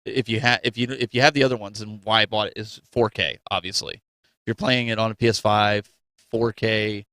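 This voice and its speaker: A man's voice: fairly low pitch, steady tone, brisk, sharp tone, no room sound. A man co-hosting a gaming show, chatting casually to his audience and fellow hosts. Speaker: co-host of a gaming news show. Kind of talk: casual chat.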